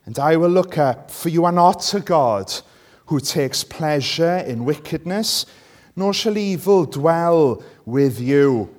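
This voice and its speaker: A man's voice: fairly high pitch, a little mellow. A Welsh man, a native Welsh speaker, preaching and reading scripture, checking in with listeners through questions. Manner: conversational, asks listeners rhetorical tag questions.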